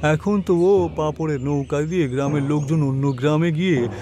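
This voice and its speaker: A man's voice: a little high, normal speed, mellow, a little hiss or hum, lightly acted. A man playing a jealous, scornful, scheming village headman in a cartoon, speaking emphatically. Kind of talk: dubbed cartoon acting. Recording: voice over background music.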